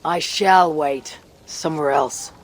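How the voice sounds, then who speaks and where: A woman playing a bold Norse warrior and raider in a video game, speaking in a measured, blunt, grave way. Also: low voice, a little hiss or hum, low-quality recording. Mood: determined. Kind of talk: video game cutscene acting.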